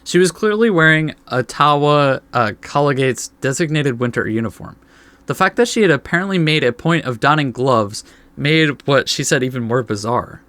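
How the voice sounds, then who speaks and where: A man's voice: medium pitch, lively intonation, normal speed, fairly bright tone, dry recording. A man with a mid-range voice reads a visual novel aloud as a let's play host. His delivery is informal, slipping between narration and offhand commentary and correcting his stumbles. The sound is dry, with little room on it. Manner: informal, stumbles over the text and corrects himself.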